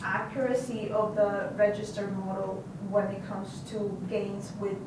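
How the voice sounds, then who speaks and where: A woman's voice: mid-range voice, level tone, background noise. Hesitant woman asking from far back. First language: Spanish. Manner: hesitant, halting, many restarts. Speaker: audience member asking a question. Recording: distant voice in echoey room.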